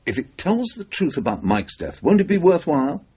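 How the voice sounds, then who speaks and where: Pushy reporter, husky, swings all over in pitch, insistent, muffled old radio drama. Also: male voice, big pitch swings, normal speed, dark tone. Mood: persuasive. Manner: insistent, emphatic, persuasive. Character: driven, persuasive male freelance journalist, obsessed with a suspicious death.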